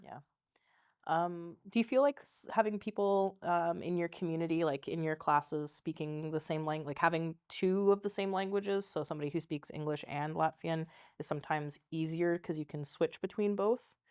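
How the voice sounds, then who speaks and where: A woman in her thirties hosting a school podcast, chatting casually and giving steady encouraging backchannels, recorded on a lapel mic in a small studio. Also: sits low, moderate pace, smooth, no echo. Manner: encouraging, frequent "mm-hmm" backchannels. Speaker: podcast host, teacher. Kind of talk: casual interview chat.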